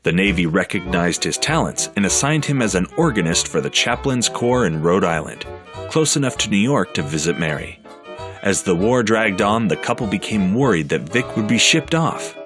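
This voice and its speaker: A man narrating a documentary in a measured, scripted storytelling manner. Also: on the low side, big pitch swings, music in the background.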